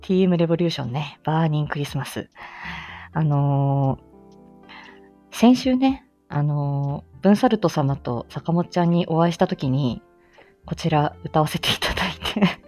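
A woman with a fairly low, very animated voice chats casually as the host of her own stream. She is giggly and self-deprecating, and faint music plays behind her. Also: normal speed. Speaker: host of a personal audio stream. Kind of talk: casual chat.

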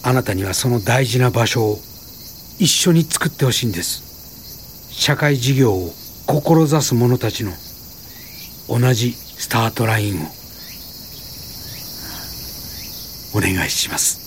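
A man's voice: medium pitch, expressive, slight background noise. Courteous, idealistic male school founder. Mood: grateful. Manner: courteous, deliberate, earnest. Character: idealistic, gently persuasive male school founder, about sixty. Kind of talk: radio drama dialogue.

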